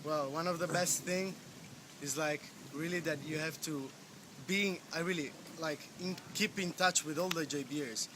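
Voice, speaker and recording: Halting young Italian man, higher voice. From Italy. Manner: halting, peppered with "like". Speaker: youth organization representative. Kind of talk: casual interview answer. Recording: clear ambient background noise.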